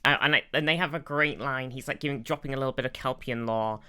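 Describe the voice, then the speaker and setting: A man co-hosting a podcast in casual fan discussion, enthusiastic and explanatory, quick to interject. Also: mid-range voice, sharp tone, clear, resonant tone. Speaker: podcast co-host.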